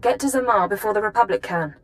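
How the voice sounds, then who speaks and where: Game role: actress as a composed female intelligence handler, mid-pitched, precise briefing delivery, faint noise. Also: quick pace, lightly acted. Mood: composed, serious. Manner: precise, formal. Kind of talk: video game voice acting.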